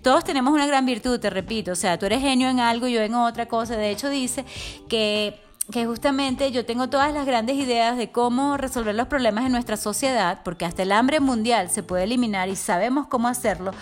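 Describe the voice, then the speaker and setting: A woman's voice: on the high side, brisk. A middle-aged woman hosting a wellness podcast, giving an enthusiastic, affectionate, chatty motivational monologue. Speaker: wellness coach podcast host.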